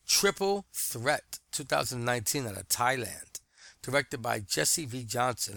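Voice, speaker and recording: A man's voice: medium pitch, creaky, pushed and bright, no echo, clean studio sound. A man giving a casual spoken film review, talking off the cuff with plenty of fillers and restarts. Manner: conversational, hesitant with many fillers and restarts.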